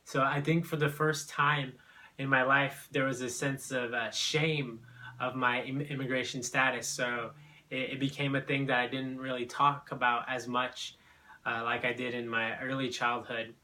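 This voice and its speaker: A man's voice: mid-range voice, flat delivery, moderate pace, pushed and bright. A young man from Brazil, telling his own immigration story in an unscripted, hesitant way full of fillers and restarts. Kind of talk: personal testimony, unscripted.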